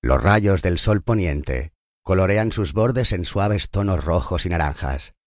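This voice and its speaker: A man narrating a scripted guided meditation in a calm, soothing and unhurried manner. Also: sits low, no echo, clean studio sound.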